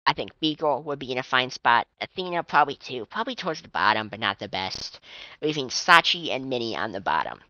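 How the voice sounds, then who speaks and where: Young male fan commentator, high tense voice, tired and strained, dull dry lo-fi mic, loose rambling delivery. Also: sharp tone, no echo. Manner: chatty, swearing, trailing restarts. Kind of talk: casual rambling monologue.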